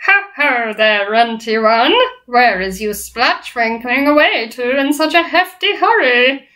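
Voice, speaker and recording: A woman voicing a huge, menacing male giant in a storybook, booming and taunting in mangled folksy grammar. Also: expressive, unhurried, sharp tone.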